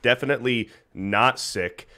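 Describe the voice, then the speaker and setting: A man's voice: medium pitch, measured pace, pushed and bright. A laid-back man on a livestream panel, talking casually and sounding a bit worn out.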